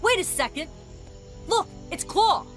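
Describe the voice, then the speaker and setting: A woman playing a confident, blunt, down-to-earth superheroine in an animated game. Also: extremely high pitch, a little slow, faint music behind. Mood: alert. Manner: blunt, casual.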